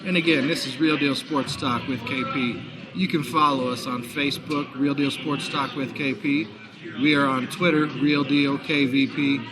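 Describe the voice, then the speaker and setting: A man with a hoarse voice hosting a live sports talk show, chatty and promotional, from a busy bar. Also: medium pitch, fairly even intonation, normal speed. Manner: chatty, promotional, frequent fillers. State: hoarse, recovering lost voice. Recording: noisy bar crowd behind. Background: crowd chatter.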